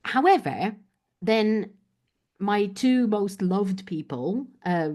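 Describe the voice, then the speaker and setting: A woman from Poland whose first language is Polish, a guest talking reflectively in an interview and often pausing to find her words. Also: mid-range voice, expressive, a little mellow. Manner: thoughtful, searching for words, many fillers. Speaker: guest, business coach.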